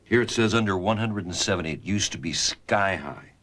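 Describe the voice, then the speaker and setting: A man's voice: low-pitched, a little fast, fairly bright tone. A man playing a puzzled, sceptical hospital doctor, questioning and baffled, in a scripted dramatization.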